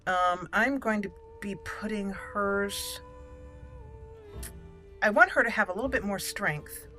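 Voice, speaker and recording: A woman gives casual gaming commentary in a bright, crisp-edged voice at middling pitch. She is chatty and playful, thinking aloud while game music plays behind her. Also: takes it slowly. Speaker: gaming channel host.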